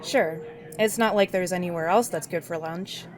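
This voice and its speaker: A woman's voice: fairly low pitch, moderate pace, close to an everyday voice. A woman playing a skeptical female best friend in an audio drama, blunt, incredulous and firm. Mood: resigned.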